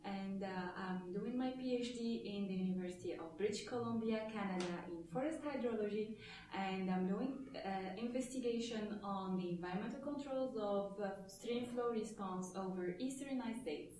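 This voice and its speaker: A woman's voice: mid-range voice, normal speed, warm tone, a little hiss or hum. Hesitant woman from Tunisia, echoey. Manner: hesitant, with fillers. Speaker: PhD student. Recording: distant mic in an echoey room.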